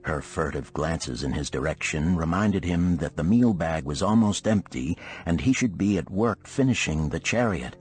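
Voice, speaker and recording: A man reading a storybook narration in a measured, formal storytelling manner. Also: sits low, lively intonation, darkish tone, soft background music. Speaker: audiobook narrator.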